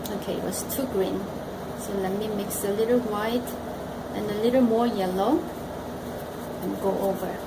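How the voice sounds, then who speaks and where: A woman with a Korean accent, teaching painting and talking slowly and thoughtfully while she works. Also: medium pitch, fairly even intonation, roomy sound, heavy background noise. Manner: slow and thoughtful, talks while working. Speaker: art teacher. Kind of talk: painting demonstration. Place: art gallery studio room.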